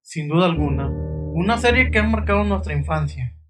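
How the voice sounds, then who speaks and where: A man's voice: sits high, expressive, brisk, music in the background. A man reading scripted, dramatic narration in a suspenseful manner, putting questions to the listener.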